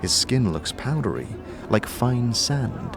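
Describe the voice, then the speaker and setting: A man's voice: medium pitch, sing-song, slow. A man reading scripted fantasy narration as the narrator of an audio drama. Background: music.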